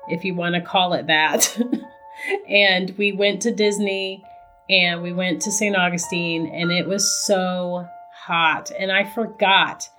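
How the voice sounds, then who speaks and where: Raspy-voiced woman podcast host. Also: on the low side, a bit bright. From Ohio. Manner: laughs often, says "girl". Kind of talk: casual chat.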